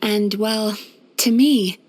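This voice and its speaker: A woman playing a gentle, composed yokai therapist in a video game, soft-spoken and measured. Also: mid-range voice. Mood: composed, earnest. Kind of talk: video game character voice lines.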